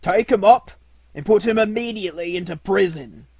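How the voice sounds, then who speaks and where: Man acting a loud, stern old gorilla judge. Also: sits high, normal speed, vocal fry, breathy edge, no echo, pitched above the performer's own voice, exaggerated voice. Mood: stern, commanding.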